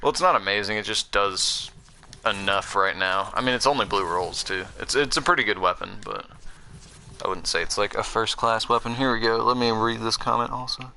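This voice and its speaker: Deep-voiced young American streamer, rambling. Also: male voice, brisk, bright, forceful tone, a little hiss or hum. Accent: American, mixed Southern and Northern. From Southern United States. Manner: rambling, many fillers and restarts. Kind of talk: casual chat while gaming.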